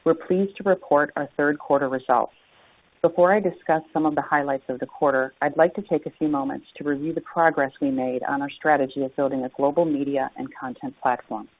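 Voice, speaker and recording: A woman's voice: deep voice, steady tone, brisk. Woman, company executive, formal scripted presentation, tinny phone-line sound, no room echo.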